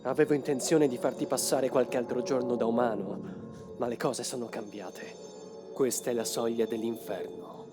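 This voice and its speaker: A man's voice: medium pitch, fairly even intonation, normal speed, lightly acted. A man voicing a grave, determined young man in a motion comic dub, with a solemn, explanatory delivery. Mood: resolute. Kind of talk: dramatic motion comic dubbing. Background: music.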